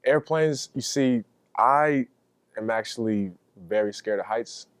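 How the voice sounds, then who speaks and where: A man's voice: mid-range voice, unhurried, clean tone, dry recording. A young man, a college athlete, answering interview questions casually. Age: young adult. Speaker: college basketball player.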